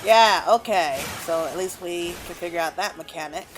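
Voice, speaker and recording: A woman's voice: on the low side, moderate pace, background noise. A woman giving casual gameplay commentary, rambling and thinking aloud as she plays. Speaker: gamer, let's play host. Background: game music.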